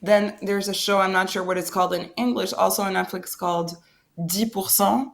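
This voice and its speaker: A young woman talking as a guest in a casual interview, eager and blunt, quick to agree and not shy about swearing. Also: mid-range voice, steady tone, creaky. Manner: enthusiastic, quick to agree, plain-spoken with some swearing.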